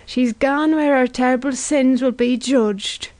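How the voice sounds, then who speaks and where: Female narrator as sanctimonious neighbour woman, motherly, sing-song churchy lament, gushing pity, storybook drama, slow delivery. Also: high-pitched, fairly even intonation, a little mellow, dry recording, pitched above the performer's own voice. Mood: gushing sympathy. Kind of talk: literary audiobook character acting.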